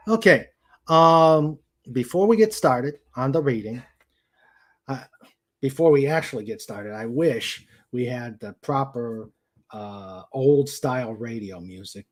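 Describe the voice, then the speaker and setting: A man hosting a casual livestream chat, speaking haltingly as he searches for his words. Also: medium pitch. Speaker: host. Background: dog noises and clicks.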